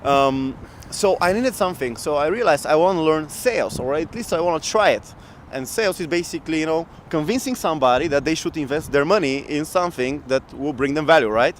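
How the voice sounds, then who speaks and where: A man in his thirties from Bulgaria telling his work story in a casual outdoor interview, animated and laughing often, into a handheld mic. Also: on the high side, brisk, a little hiss or hum. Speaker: guest entrepreneur. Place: outdoor city square in winter. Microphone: handheld mic shared, camera close.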